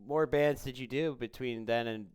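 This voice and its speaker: Smooth-voiced man, casual interviewer. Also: mid-range voice, moderate pace. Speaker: podcast interviewer.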